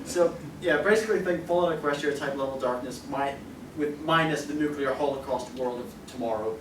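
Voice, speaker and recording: A man's voice: medium pitch, brisk. Casual man co-presenting in an echoey hall. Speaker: convention panel co-presenter. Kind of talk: casual banter with audience. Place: convention panel room. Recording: echoey, noisy hall, distant microphone.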